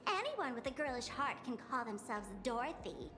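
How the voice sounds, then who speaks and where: A woman playing a sly, breezy, teasing young woman in a video game, with a playful, expressive delivery. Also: a little high, moderate pace, in a large hall. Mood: inquisitive. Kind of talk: video game story voice acting.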